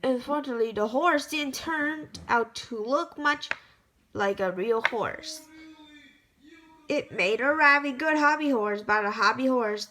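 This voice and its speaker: A woman reading a children's picture book aloud, storytelling with lively emphasis and the occasional stumble. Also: sits high, unhurried, low-quality recording.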